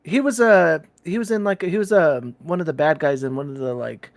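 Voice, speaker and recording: A man on a casual podcast, excitable and swearing casually. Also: a little high, brisk, creaky, a little hiss or hum.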